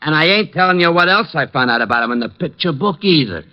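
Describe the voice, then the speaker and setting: Dumb, huffy police sergeant, male actor: whiny, wildly lively pitch, 1940s radio comedy, lo-fi sound. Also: natural voice. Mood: sulky, offended. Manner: whiny, sulky, slow-witted. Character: dim-witted, touchy male police sergeant, easily offended. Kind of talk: 1940s comic radio acting. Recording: dull lo-fi old radio recording.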